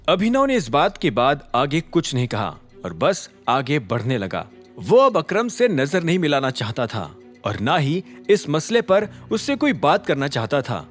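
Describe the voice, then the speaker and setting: Lively male drama narrator over music. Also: a little high. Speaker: narrator of an audio drama series. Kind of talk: dramatic scripted story narration. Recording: music under the voice. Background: background music.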